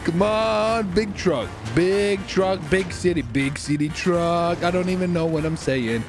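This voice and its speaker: A man hosting a gaming video, giving excitable roleplay gameplay commentary, talking to himself, swearing and breaking into shouts. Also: high voice, unhurried, over music. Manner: excitable, swearing, shouting outbursts, self-talk.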